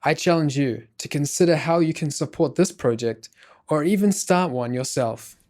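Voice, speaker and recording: A man's voice: on the high side, moderate pace, a little mellow. A man reading scripted narration as the host of a podcast.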